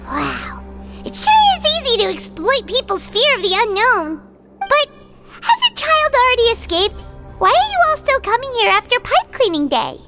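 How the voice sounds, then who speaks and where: A woman voicing a tiny, excitable, chatty fairy companion with a squeaky childlike voice in a video game. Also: moderate pace, heavily acted. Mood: inquisitive. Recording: game music behind the voice.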